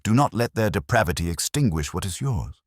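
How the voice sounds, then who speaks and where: A man's voice: deep voice, very animated, a little fast, no echo, clean studio sound, pitched above the performer's own voice. A man voicing a pompous, brilliant private detective who speaks in formal, grand pronouncements in an audiobook story. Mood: grave.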